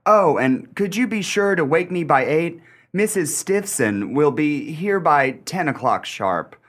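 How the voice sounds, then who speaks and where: An actor playing a timid, fussy gentleman in a radio play, stammering and hesitating with formal wording. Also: on the high side, animated, measured pace.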